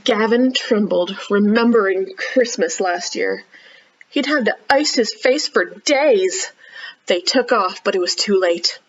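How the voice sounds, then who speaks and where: A woman leading a storytime for young children as a librarian, talking directly to the kids with questions and pauses. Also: medium pitch, dull audio. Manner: child-directed, asking questions and pausing for answers.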